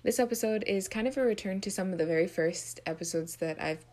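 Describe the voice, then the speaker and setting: A woman's voice: mid-range voice, quick pace, darkish tone, soft background music. A woman hosts a podcast, chatting casually and self-deprecatingly, often trailing off and restarting. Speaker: podcast host. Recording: faint background noise.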